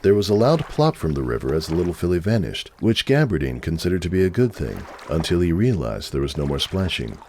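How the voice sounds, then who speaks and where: Deep-voiced man narrating with animated intonation. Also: a little fast, few pauses, a little hiss or hum. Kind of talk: dramatic scripted story narration.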